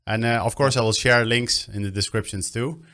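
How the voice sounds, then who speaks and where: A man's voice: mid-range voice, steady tone, normal speed, a bit bright. A man hosting an interview show over a video call, talking in an enthusiastic, upbeat way. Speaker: podcast host.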